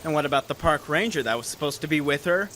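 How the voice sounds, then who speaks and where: A man's voice: fairly high pitch, lively intonation, quick pace, sharp tone, a little hiss or hum. A man acting an uneasy, sarcastic sidekick in an audio horror drama. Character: uneasy, sarcastic male sidekick on repair trip.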